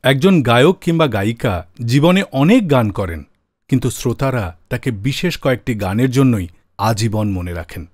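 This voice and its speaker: A man's voice: medium pitch, a little mellow, no room sound, clean studio sound. A man hosting a radio show, telling scripted anecdotes in a dramatic storytelling manner. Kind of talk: scripted radio storytelling about songs and singers. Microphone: close studio microphone. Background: faint music.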